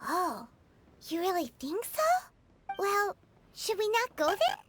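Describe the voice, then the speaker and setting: A woman voicing a tiny, childlike fairy companion in a video game, squeaky, excitable and greedy, with an exclaiming, sing-song manner. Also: slow, very noisy, heavily acted. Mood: pondering. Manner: exclaims, sing-songs, refers to herself in third person. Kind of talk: video game character acting.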